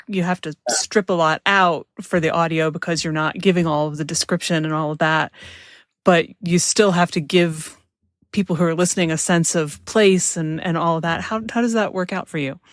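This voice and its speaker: Woman, podcast host, slightly low voice, casual interviewing, short exploratory phrases, dry recording. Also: fairly even intonation, normal speed, dry recording.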